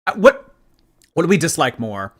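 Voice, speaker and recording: A man's voice: on the high side, sing-song, normal speed, fairly bright tone, slightly rough. A man giving blunt, sarcastic, profane reaction commentary as the channel's host. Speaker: commentary YouTuber.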